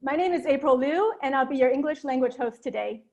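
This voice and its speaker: A woman from North America hosting a live online event, speaking in a friendly, clear way with small fillers. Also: high voice, a little fast, a little mellow, highs cut off. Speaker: host.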